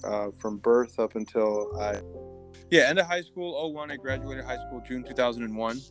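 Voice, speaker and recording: A man's voice: mid-range voice, normal speed, soft background music. An American man of about forty from upstate New York, telling his story informally as an interview guest. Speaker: interview guest, army veteran. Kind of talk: casual personal storytelling.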